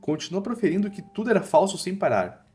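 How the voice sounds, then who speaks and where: A man's voice: high voice, sing-song, fast talker, darkish tone. A Brazilian man narrating a scripted comic recap in a colloquial, dramatic storytelling manner. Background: music.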